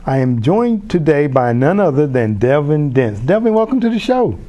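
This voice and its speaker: A man's voice: a little high, very animated, moderate pace, mellow. A man hosting a community TV interview, chatty and enthusiastic, often restarting his sentences. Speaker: host.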